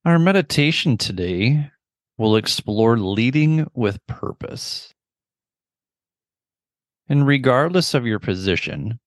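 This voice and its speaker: A middle-aged man leading a guided meditation in a gentle, deliberate manner with long pauses. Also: fairly low pitch, dry recording, studio quality.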